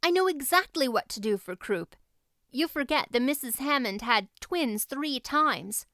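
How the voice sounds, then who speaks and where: A woman voicing a confident, talkative young girl heroine in an audiobook, brisk and earnest. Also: high voice, animated, pushed and bright, no echo, clean studio sound, pitched above the performer's own voice, exaggerated voice. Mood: cheerful reassurance. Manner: brisk, earnest, take-charge. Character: confident, capable, talkative eleven-year-old girl heroine.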